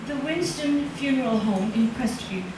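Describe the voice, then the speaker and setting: An actress playing a frightened, guilt-ridden wife in a 1940s-style radio drama, with an anxious, pleading manner. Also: female voice, high-pitched, normal speed, lightly acted. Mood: nervous, guarded. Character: frightened, guilt-ridden wife faking widowhood in an insurance fraud. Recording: roomy, distant, hissy old radio recording.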